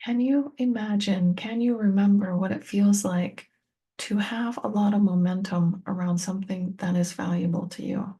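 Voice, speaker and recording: A woman leading a guided meditation, speaking gently and unhurriedly to the listener in short, spaced-out phrases. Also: mid-range voice, steady tone, normal speed, breathy edge. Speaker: meditation teacher and tapping coach.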